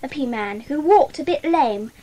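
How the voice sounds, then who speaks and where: High-pitched observant schoolgirl. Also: animated, moderate pace, dark tone, slight background noise. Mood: earnest, proud. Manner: precise, earnest. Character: Janet, bright observant schoolgirl club member. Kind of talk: dramatised children's audiobook acting.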